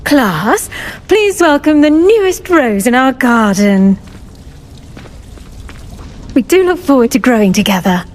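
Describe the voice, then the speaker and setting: An actress playing a cheerful, flowery herbology professor, in scripted fantasy game dialogue. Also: female voice, high-pitched, sing-song, takes it slowly, pauses often, full character voice. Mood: cheerful, enchanted.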